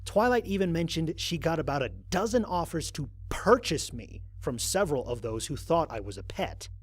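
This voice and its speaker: A man's voice: a little high, lively intonation, moderate pace, slightly rough, no room sound. A man playing a weary human aerospace pilot recording a mission log for an audio drama, in a dry, grumbling, conversational way. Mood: wry, bemused. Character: weary, wry male human aerospace pilot on an alien world.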